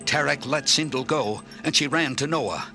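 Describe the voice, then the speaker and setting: A man narrating a children's story in an expressive, clearly enunciated read-along style. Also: medium pitch, moderate pace, music in the background. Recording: orchestral film score under narration.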